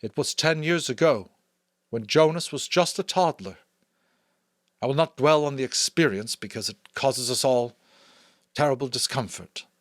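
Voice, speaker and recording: Man voicing a commanding, high-pitched female chief elder. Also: no room sound, studio quality, lightly acted. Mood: firm, commanding. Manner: firm, declamatory, deliberate. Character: solemn commanding female chief elder addressing her community. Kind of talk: dramatic audiobook character voice.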